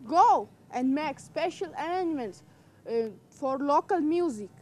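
A teenage girl playing a gracious young king in a school drama, speaking in slow, formal recited lines. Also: high voice, expressive, darkish tone. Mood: regal. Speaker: student actor. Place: outdoor rooftop terrace in mountains.

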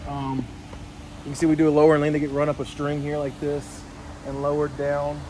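A man's voice: on the high side, monotone, brisk. A man, casually explaining his work as a farmer while giving a tour. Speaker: farmer showing the farm. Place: outdoors and in greenhouses on a farm. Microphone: phone camera a step away. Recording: outdoor phone recording with background noise.

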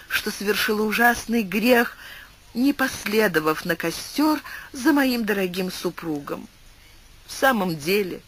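Guy doing a high breathy coy young widow, flattering and pleading, storybook voice. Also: a little hiss or hum, heavily acted.

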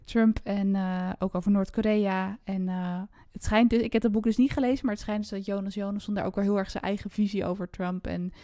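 A woman's voice: medium pitch, fairly even intonation, fairly quick, hardly any pauses, warm tone, no room sound. Woman chatting on a dry recording. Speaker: book podcast host. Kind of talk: casual book discussion.

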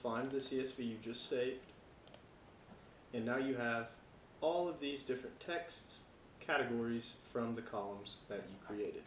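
An American man giving a casual software tutorial, talking informally and thinking aloud as he works. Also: mid-range voice, measured pace, reverberant, a little hiss or hum. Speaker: tutorial presenter.

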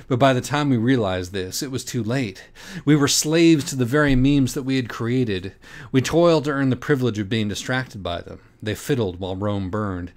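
Mock-earnest man narrating. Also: on the low side. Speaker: audiobook reader. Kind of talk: dramatic scripted narration of a parody children's book.

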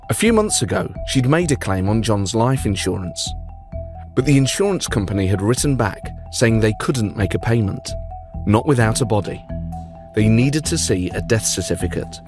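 Male podcast host, low-pitched, measured scripted narration with dry wit. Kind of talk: scripted storytelling narration with banter.

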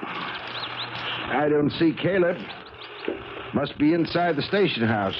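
An actor playing a plain-spoken, doubtful, folksy train conductor in a 1970s radio drama. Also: male voice, on the high side, expressive, slow pace. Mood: brisk, helpful. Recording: crackly, noisy, dull 1970s radio recording.

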